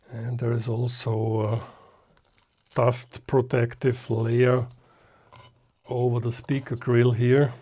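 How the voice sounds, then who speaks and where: A man talks through a hands-on hobby project in a soft-edged, mellow voice. He takes his time, speaking slowly and hesitantly. The delivery is casual and unscripted, as if he is thinking aloud while he works. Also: medium pitch. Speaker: hobbyist host of a restoration video. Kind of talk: casual unscripted how-to commentary while working.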